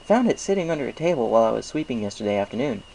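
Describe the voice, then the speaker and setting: A chatty cartoon pony baker as a man narrator acts him, pitched high and cheerful. He talks like an eager, folksy shopkeeper. Faint noise sits behind the voice. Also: sing-song, very fast, creaky, mellow, rough recording. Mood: chatty, helpful.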